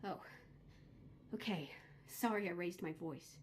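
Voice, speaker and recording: A woman's voice: lowish voice, a little slow, a little hiss or hum, close to an everyday voice. An actress playing an imperious, cold but doting mother in a video game, with haughty, dramatic delivery. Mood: composed, cold.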